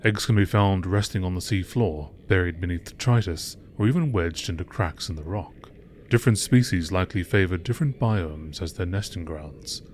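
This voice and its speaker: A man narrates in a nature documentary style, reading scientific-sounding text from a script. His voice is low, and there is faint noise behind it. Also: normal speed, a little mellow. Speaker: narrator reading game lore texts.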